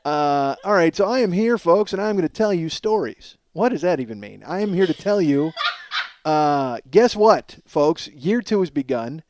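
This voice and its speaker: A man in his forties with a slightly hoarse voice, hosting a podcast as a casual comedic monologue, rambling, profane and self-deprecating. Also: a little high, lively intonation, moderate pace, a little mellow, a little hiss or hum. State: slightly hoarse from strained singing. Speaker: podcast host.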